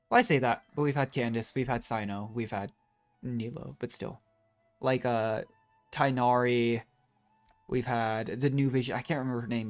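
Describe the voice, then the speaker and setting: Chill game streamer rambling and musing live, medium pitch voice, soft music in the back. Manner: rambling, musing, tangent-prone. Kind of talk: casual live game commentary.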